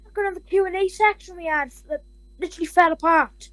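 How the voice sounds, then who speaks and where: A woman's voice: very high voice, brisk, fairly bright tone, dry recording, rough recording. A woman hosting a comedy podcast, blunt and crude, firing off abrupt questions and insults. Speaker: podcast host. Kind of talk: chaotic comedy chat.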